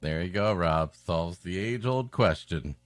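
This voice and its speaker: A man's voice: deep voice, animated, measured pace, no room sound. A man co-hosting a comedy podcast in casual, ironic banter. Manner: joking, ironic. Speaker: podcast co-host.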